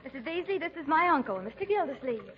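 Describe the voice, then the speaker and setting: An actress playing a sensible, polite young niece in a 1940s radio comedy. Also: female voice, high voice, lively intonation, fast talker, slight background noise. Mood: chatty. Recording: dull old radio recording, crowd behind.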